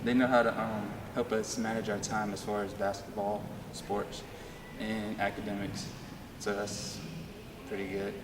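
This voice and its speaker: A teenage male student athlete giving short, quiet answers. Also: on the low side, level tone, a little slow. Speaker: high school student athlete. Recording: far from mic, noisy.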